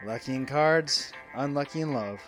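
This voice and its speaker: An actor playing an excitable, wisecracking man on a yacht in a radio drama, wry and colloquial. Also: mid-range voice, normal speed, faint music behind, close to an everyday voice. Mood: teasing. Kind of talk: audio drama acting.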